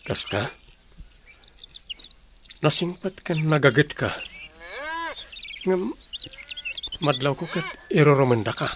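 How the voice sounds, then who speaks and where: A man's voice: a little high, animated, slow pace, pauses often, background noise. A man with an Ilocano Filipino accent playing a kindly, attentive ranch hand in a radio drama. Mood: friendly, sympathetic.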